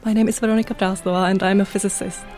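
A woman's voice: mid-range voice, level tone, very fast, darkish tone, soft background music. A woman whose first language is Czech, an audience member and physicist asking a prepared question carefully.

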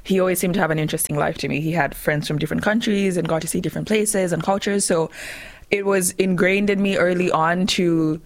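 A woman's voice: on the low side, fairly even intonation, brisk. A young woman in her twenties from Zambia, chatting as a radio guest, laughing often and acting out her own past thoughts. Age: 20s. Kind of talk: casual interview chat.

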